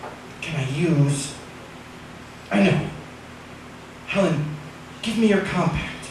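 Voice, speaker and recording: A woman playing a nervous, determined husband in an old-time radio drama, halting and urgent, repeating words. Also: sits low. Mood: urgent, coaxing. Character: nervous, determined husband turned amateur housebreaker. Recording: very echoey, distant, loud background noise.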